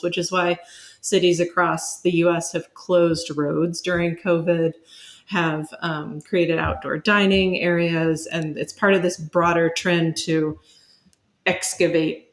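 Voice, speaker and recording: A woman speaking as an academic guest, giving explanatory interview answers in measured, searching phrases with asides. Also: fairly low pitch, flat delivery.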